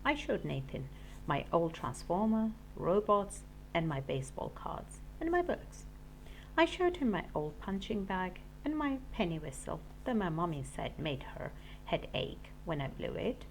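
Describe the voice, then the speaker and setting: A woman teacher reading a children's storybook aloud in an expressive, deliberate way. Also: fairly low pitch, slight background noise, poor audio. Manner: animated, deliberate storytelling for young listeners. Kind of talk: expressive children's audiobook narration.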